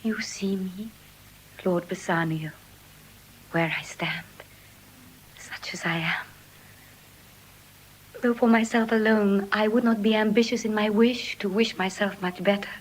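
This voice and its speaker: An actress with an English RP accent playing a tender, devoted young noblewoman, in heartfelt, measured Shakespearean verse. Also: medium pitch, steady tone, a little hiss or hum, lightly acted, poor audio. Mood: tender, modest. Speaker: stage actor. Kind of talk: classical Shakespearean verse acting.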